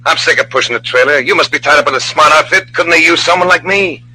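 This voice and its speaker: An actor playing an undercover hero who poses as a tough, eager truck driver in an old-time radio drama. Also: male voice, on the high side, quick pace, sharp tone, breathy edge, full character voice. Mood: confident, persuasive. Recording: noisy, humming old radio drama recording.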